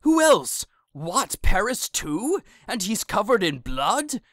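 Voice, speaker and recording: A woman's voice: mid-range voice, big pitch swings, takes it slowly, no room sound, studio quality. A woman playing an anxious, kindly old friar in an audio drama, fretful and exclamatory. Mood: alarmed, horrified. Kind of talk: audio drama acting of modernized Shakespeare.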